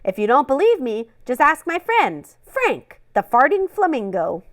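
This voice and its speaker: A woman reading a funny children's picture book aloud in a playful, animated way, with little acted asides. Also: sits high, unhurried, no echo, studio quality. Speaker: storybook reader.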